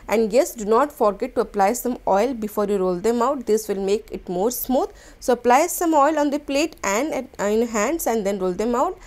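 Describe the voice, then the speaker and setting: Woman explaining a recipe. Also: fairly high pitch. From India. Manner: step-by-step instructional, gentle, with small restarts. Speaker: cooking channel host. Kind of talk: cooking demonstration voice-over.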